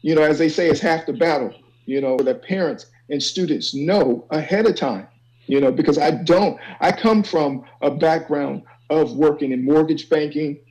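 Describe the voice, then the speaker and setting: Man with a higher voice, explaining informally. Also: moderate pace, darkish tone, rough recording. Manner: instructive, checks understanding with "okay" and "you know". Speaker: college financial aid advisor, guest. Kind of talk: informal explanatory panel talk.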